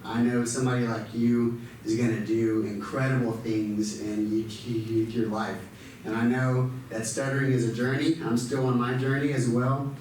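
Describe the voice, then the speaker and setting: A man's voice: mid-range voice, little pitch movement, normal speed, a little mellow, a little hiss or hum. A man working as a speech pathologist, praising a student at an event, who stutters with repeated sounds. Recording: echoey hall, mic far off.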